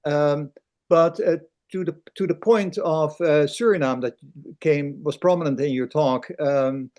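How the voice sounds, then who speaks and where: Older guy, kinda high voice, lots of uh and um, rambles, video call. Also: a little slow, a little mellow, highs cut off. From Netherlands. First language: Dutch. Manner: rambling, many fillers. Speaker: audience member asking a question.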